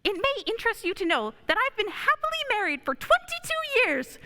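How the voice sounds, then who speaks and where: A woman's voice: very high-pitched, very animated, fairly quick, sharp tone, a little hiss or hum, heavily acted. A woman playing a nervous, pompous, irritable male personnel examiner in a radio play, with an officious, snappish way of talking. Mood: flustered, irate.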